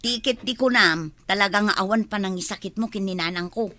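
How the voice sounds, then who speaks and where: A woman's voice: medium pitch, fairly quick, sharp tone, dry recording, close to an everyday voice. A woman playing a put-upon, testy village wife and mother with an Ilocano accent in a comedy radio drama.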